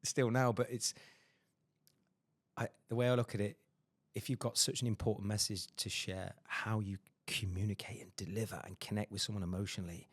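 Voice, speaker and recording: Low-voiced male podcast host, dry sound. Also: steady tone, no echo. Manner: quick, emphatic, many fillers and restarts. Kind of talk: casual interview chat.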